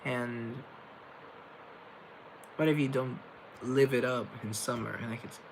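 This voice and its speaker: A man's voice: medium pitch, fairly even intonation, slow, creaky, slight background noise, low-quality recording. A young man from Colombia hosting a casual livestream, rambling and thinking aloud while he reads viewer comments off a laptop. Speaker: livestream host reading chat. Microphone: laptop mic.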